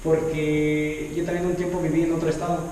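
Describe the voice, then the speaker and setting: A man's voice: on the high side, flat delivery, brisk, mellow, far from the mic, a little hiss or hum. Easygoing young man, echoey room. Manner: easygoing, lots of ajá and ujú, sings snatches of songs. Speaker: podcast host. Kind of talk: casual chat. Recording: echoey room, voice a bit off-mic.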